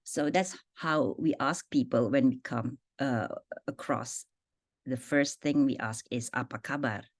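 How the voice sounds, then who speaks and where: A woman with an Indonesian accent teaches a spoken language lesson in a low voice. She talks slowly and deliberately, repeating phrases and their meanings. The sound is dry and close, with no room around it. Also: no room sound. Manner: explains slowly, repeats phrases and their translations, many fillers.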